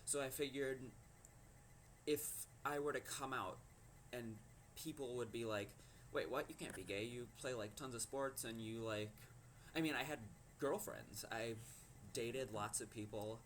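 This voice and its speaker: A fifteen-year-old boy from Minnesota with a low voice, telling a personal story to camera and hesitating often with ums and likes. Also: measured pace, fairly bright tone, a little hiss or hum. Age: teens. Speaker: teen vlogger.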